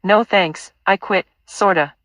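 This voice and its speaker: A woman's voice: mid-range voice, measured pace, a bit bright, no room sound, studio quality, lightly acted. A woman playing a wry, foul-mouthed, blunt young heroine with a sardonic manner in an audio play. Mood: wry, relieved.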